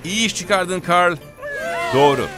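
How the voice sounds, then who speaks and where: An upbeat, encouraging cartoon helper voiced by a man, with a high, sing-song voice that swoops up and down. Loud music plays under the studio dub. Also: animated, unhurried. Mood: cheerful. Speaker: narrator and helper character in a children's cartoon. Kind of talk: dubbed children's cartoon dialogue.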